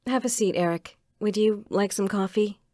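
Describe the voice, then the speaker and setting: A woman's voice: mid-range voice, moderate pace, dark tone, dry recording, clean studio sound, natural voice. A woman playing a composed, hospitable covert vigilante in an audio crime drama.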